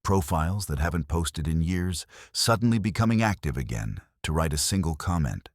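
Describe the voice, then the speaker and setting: A man tells scripted horror stories with an extremely low, rumbling voice. His delivery is measured and ominous, and the recording is dry, with no room sound. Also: lively intonation, dry recording. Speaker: narrator.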